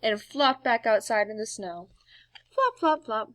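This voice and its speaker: A woman reading a storybook aloud to young children in an expressive, playful way, with emphatic sound words. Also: on the high side, measured pace, dry recording. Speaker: storybook reader, teacher.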